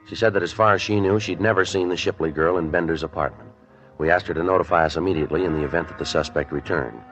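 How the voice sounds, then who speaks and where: A terse police sergeant with a very deep voice and flat, clipped phrasing. He speaks in a crisp, no-nonsense 1950s radio-drama manner. The old broadcast recording sounds dull and lo-fi, with faint music underneath. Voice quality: clipped, flat, businesslike delivery. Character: terse, matter-of-fact male police sergeant. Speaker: police detective narrator.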